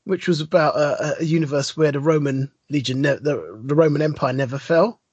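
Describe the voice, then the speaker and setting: Excitable podcast guy, kinda high and husky, rambles on, super dry close mic. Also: a little fast, no echo, muffled recording. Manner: enthusiastic, rambling storyteller. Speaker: podcast co-host. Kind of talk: casual chat.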